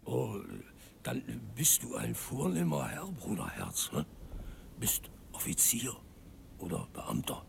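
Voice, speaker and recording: A man's voice: medium pitch, wildly swooping pitch, slow pace, vocal fry, whispered, full character voice. An actor playing a tipsy, insistent old man with slurred speech in a radio play. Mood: slyly probing. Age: older.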